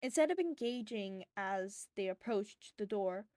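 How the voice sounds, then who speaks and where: A woman's voice: mid-range voice, expressive, a little slow, darkish tone, no echo, clean studio sound. A woman reading fan fiction aloud as scripted, audiobook-style narration.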